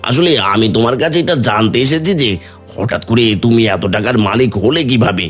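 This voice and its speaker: A greedy cartoon villager, played by a man, speaks in a medium-pitched voice. He is insinuating and envious, with faint music behind him. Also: moderate pace, natural voice. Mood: wheedling. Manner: insinuating, suspicious. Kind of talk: cartoon dialogue.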